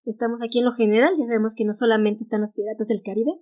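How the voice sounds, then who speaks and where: Mexican woman, casual podcast host, fairly high voice, reads from notes. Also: little pitch movement, racing, mellow. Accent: Mexican Spanish. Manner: reads notes aloud, stumbles on English titles, laughs easily. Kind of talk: casual history podcast chat.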